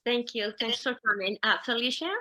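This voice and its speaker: A woman's voice: a little high, steady tone, brisk, sharp tone. A woman chairing an online meeting, politely thanking people and calling on the next speaker. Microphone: video call.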